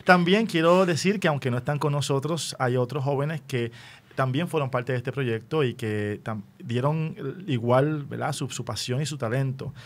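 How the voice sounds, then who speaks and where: A man's voice: mid-range voice, normal speed. Male radio host, Puerto Rican accent, mid-range voice, formal and welcoming presenter delivery. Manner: formal, welcoming address to listeners. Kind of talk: radio show introduction and interview.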